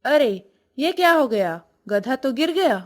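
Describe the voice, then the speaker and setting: A woman's voice: sits high, very animated, unhurried. A woman playing a simple, honest village wife in a cartoon story, earnest and respectful. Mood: startled.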